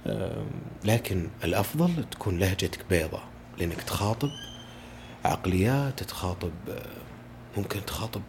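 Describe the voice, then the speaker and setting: A man talks in quick, eager bursts, his voice sitting very low. He is a voice-over artist answering questions as a guest in a relaxed podcast chat, with a faint hiss behind him. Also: vocal fry. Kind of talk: casual conversation.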